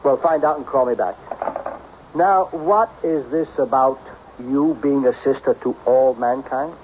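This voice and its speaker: A man's voice: medium pitch, a little slow, a little mellow, natural voice. A man playing a wealthy, impatient businessman in a radio mystery, curt, imperious and easily exasperated. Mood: brusque, puzzled. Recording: dull, noisy old radio recording.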